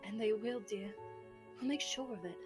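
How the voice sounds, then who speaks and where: A woman's voice: a little high, normal speed, music in the background, close to an everyday voice. A woman voicing a tender, genteel young bride with formal, affectionate speech in an anime fan roleplay.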